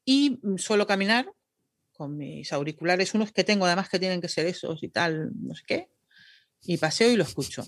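A woman's voice: lowish voice, animated, normal speed, no room sound, clean studio sound. A woman, a radio announcer and podcaster, chatting casually as a guest and laughing at herself. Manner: chatty, self-deprecating, laughing. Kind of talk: casual interview chat.

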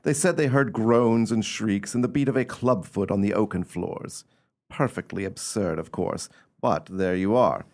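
Aristocrat for radio play, male actor: haughty, exasperated, formal, fairly deep register. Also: normal speed, darkish tone, natural voice. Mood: exasperated, dismissive. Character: exasperated, haughty English lord, dismissive of ghost tales.

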